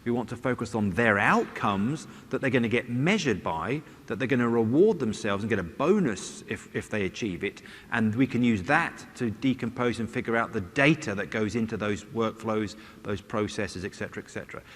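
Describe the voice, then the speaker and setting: A man's voice: on the low side, expressive, moderate pace, few pauses, a bit bright. A middle-aged man giving a conference presentation on stage with a headset mic, talking conversationally with wry asides and rhetorical questions. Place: large conference stage, indoors. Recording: long hall reverb.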